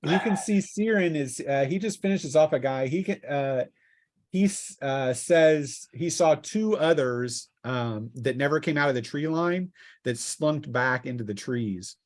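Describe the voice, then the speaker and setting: A man acting as game master, improvising narration of a tabletop game in a halting way with drawn-out pauses. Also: on the high side, animated, dry recording, clean studio sound.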